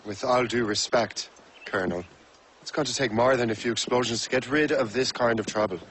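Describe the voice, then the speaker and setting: A man's voice: mid-range voice, brisk, fairly bright tone, slight background noise, lightly acted. An actor playing a troubled young male ship captain in a video game cutscene, speaking in a grave, restrained way.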